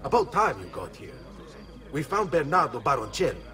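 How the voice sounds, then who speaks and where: An actor voicing a brisk mercenary in a video game. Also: male voice, medium pitch, very animated, sharp tone, soft background music. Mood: urgent. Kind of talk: video game cutscene acting.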